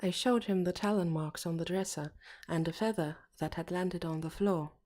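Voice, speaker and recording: Woman as a teenage boy storyteller, slightly low pitch, soft dark tone, measured, pensive, audiobook narration. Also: close to an everyday voice. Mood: uneasy, pensive.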